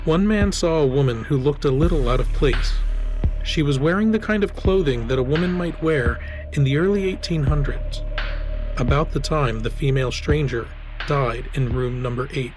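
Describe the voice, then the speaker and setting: A man's voice: mid-range voice, moderate pace, over music, poor audio. A man reading a scripted story as narration.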